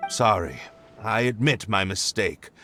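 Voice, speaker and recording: A man's voice: lowish voice, measured pace, bright, forceful tone, faint music behind. A man playing a pompous, awkward young nobleman in an anime video game, formal and hesitant. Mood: sheepish.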